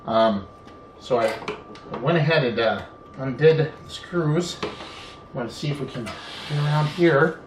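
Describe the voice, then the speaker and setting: In an echoey room with a faint bed of noise, a man walks through a hands-on repair without a script. He thinks aloud as he goes, unhurried, often pausing and letting phrases trail before picking them up again. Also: medium pitch. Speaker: hobbyist video presenter. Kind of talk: unscripted hands-on repair walkthrough.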